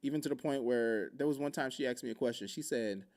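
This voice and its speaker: A man from Hawaii telling a story in a casual, joking podcast chat, animated and full of vocal sound effects. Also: medium pitch, fast talker. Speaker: podcast speaker.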